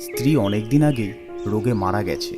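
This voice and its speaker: A man voicing a kindly, elderly retired village schoolmaster in a cartoon, speaking in a measured, gentle way. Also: on the low side, voice pushed down, lightly acted. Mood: reflective. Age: older. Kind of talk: cartoon character acting. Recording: music behind the voice.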